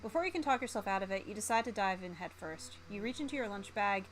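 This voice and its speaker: A woman streamer reading a visual novel aloud in a theatrical, expressive way. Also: medium pitch, fast, a little hiss or hum.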